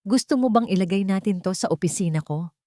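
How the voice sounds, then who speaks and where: A Filipino-accented woman voicing a protective, gentle businessman, an older brother, in an audio storybook. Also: mid-range voice, animated, quick pace, no room sound, studio quality, close to an everyday voice. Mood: tender, soothing. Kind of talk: dramatic audio storybook reading.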